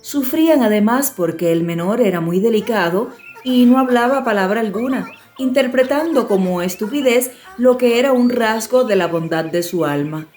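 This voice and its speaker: A woman narrating a fairy tale as a scripted audiobook, in a measured, expressive storytelling manner. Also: on the high side.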